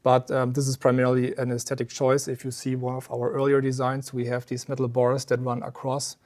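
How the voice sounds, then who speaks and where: A man's voice: mid-range voice, completely flat delivery, a little fast, a little mellow. Man explaining technical gear in an interview. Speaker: loudspeaker company representative explaining a product. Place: exhibit room at an audio trade show.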